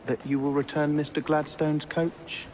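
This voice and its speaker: An actor playing a proper, exasperated police officer with an earnest, grumbling manner in a video game cutscene. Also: male voice, fairly high pitch, level tone, moderate pace, natural voice. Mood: grudging. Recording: noisy ambience behind voice.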